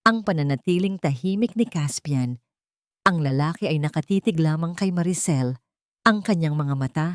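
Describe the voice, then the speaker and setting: Female audiobook narrator, Filipino accent, lowish pitch, dramatic scripted storytelling, dry studio-like sound. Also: darkish tone, no echo.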